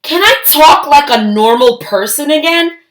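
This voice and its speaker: Bossy young mouse girl for a storybook, female reader, high, loud, emphatic and full of jealous indignation. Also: animated, a little slow. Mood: demanding. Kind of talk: children's storybook read-aloud.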